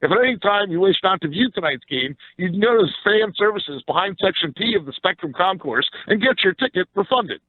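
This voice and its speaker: A man's voice: sits high, expressive, brisk, few pauses, no echo, phone-quality sound. An American man chatting casually and bluntly about sports as an opinionated radio guest. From Philadelphia area, USA.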